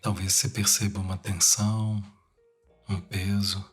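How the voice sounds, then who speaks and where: A Brazilian man leads a guided meditation in a low, slightly husky voice. He speaks very slowly, in short phrases separated by long pauses. Soft music plays behind him. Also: little pitch movement.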